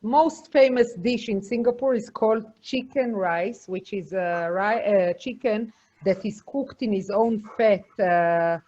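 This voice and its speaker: A chatty woman tells a food story in a relaxed, anecdotal way, with a middle-pitched voice. English is not her first language; she grew up speaking Hebrew. The recording is a little dull and lo-fi. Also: measured pace. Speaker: host and food tour guide in Singapore. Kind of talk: casual storytelling chat. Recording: slightly dull sound.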